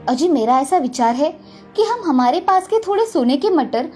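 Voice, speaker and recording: A woman's voice: sits high, very animated, moderate pace, dark tone, faint music behind. A woman voicing a kind, helpful married village woman in a children's story, caring and reassuring. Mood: caring, earnest.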